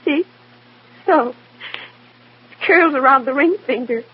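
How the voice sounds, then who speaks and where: An actress playing a timid, grieving young widow, fragile and pleading, in mid-century radio drama style. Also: female voice, high voice, slow. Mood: wistful. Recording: muffled, noisy old radio recording.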